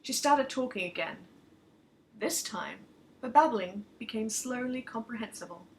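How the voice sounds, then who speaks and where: A woman reading a first-person story aloud with expressive narration, as an author performing her own work. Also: fairly high pitch, measured pace, slight background noise, low-quality recording.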